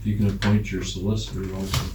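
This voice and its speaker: An American man questioning a council at a public meeting, haltingly rephrasing his point. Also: sits low, little pitch movement. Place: indoor council chamber. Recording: echoey room, distant mic, clear background noise.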